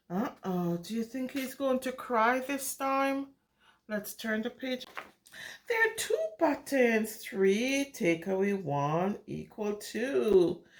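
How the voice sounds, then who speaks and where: A woman reads a picture book to young children in a high voice. She takes her time and speaks at an unhurried pace. Her delivery is singsong and exclamatory, swinging widely in pitch as she puts questions to her little listeners. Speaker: children's storyteller reading a picture book aloud. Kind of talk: animated interactive read-aloud for young children.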